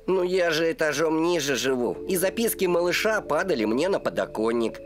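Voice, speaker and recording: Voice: medium pitch, expressive, fairly quick, background noise, lightly acted. An actor playing a friendly, sheepish neighbour boy in a children's cartoon, explaining things sincerely. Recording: music behind the dialogue.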